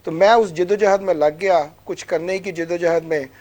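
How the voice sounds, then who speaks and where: Pakistani guy being interviewed, pretty high voice, earnest motivational talk, repeats himself to make points. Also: fairly even intonation, normal speed, a little mellow. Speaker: businessman guest. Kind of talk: conversational interview answers.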